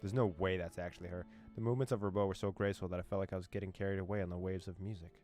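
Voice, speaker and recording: Low-voiced man doing casual game commentary. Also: steady tone, very fast, a little mellow. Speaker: gaming channel host. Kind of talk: let's play commentary, reading game text aloud. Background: game music.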